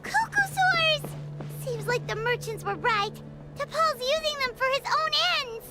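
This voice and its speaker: A woman voicing a small, excitable floating fairy girl in a video game, with a squeaky childlike voice. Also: measured pace. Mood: excited. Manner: excitable, refers to self in third person. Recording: music behind the voice. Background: game music.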